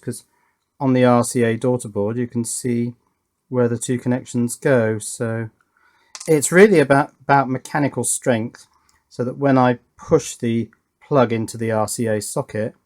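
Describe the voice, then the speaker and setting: A man's voice: medium pitch, fairly even intonation, moderate pace, darkish tone. A man giving casual, understated hands-on commentary as he works on a hobby electronics build, often thinking aloud and hesitating. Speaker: hobby electronics video maker.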